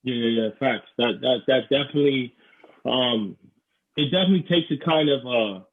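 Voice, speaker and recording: A man's voice: mid-range voice, normal speed. A young man from New York hosting a podcast, talking earnestly and often saying "you know". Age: young adult. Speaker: podcast host. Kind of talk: podcast conversation. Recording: tinny phone-like line.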